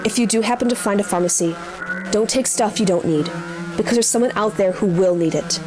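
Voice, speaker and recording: A woman's voice: medium pitch, lightly acted. Weary, wry nurse played by a woman, music behind. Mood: steady, earnest. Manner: measured instruction with dry sardonic asides. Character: weary, dutiful, wry nurse staying behind after apocalypse. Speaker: nurse broadcasting survival advice. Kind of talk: audio drama radio broadcast monologue.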